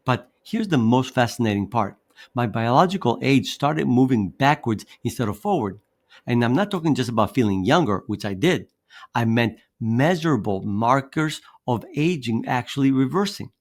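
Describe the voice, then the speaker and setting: A man in his fifties, raised in Greece, giving a persuasive motivational presentation on fitness with a storytelling manner. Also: medium pitch. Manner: persuasive, storytelling, with occasional restarts.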